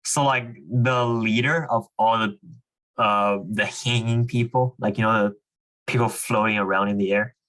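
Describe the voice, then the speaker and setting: A man's voice: lowish voice, fairly even intonation, unhurried, a bit bright, low-quality recording. A young man casually explaining and reading aloud to children as a reading club leader, with an informal way of talking peppered with "like" and "you know".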